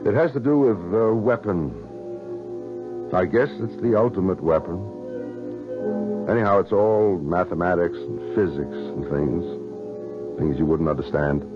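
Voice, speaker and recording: A troubled male scientist in a vintage radio play, guarded and intense. His low voice moves in wide swings, and the dull old recording has music underneath. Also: animated, unhurried, long pauses. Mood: halting, grave. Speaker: radio drama actor. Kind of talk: 1970s radio drama acting.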